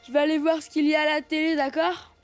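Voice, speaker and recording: A high-voiced little elephant lad acted by a woman, boastful and dismissive, with the slang of a self-styled cool big brother. It is a children's cartoon, with clear music behind the voice. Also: little pitch movement, quick pace, close to an everyday voice. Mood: evasive. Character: cocky bored young elephant boy, big brother. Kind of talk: animated cartoon dub.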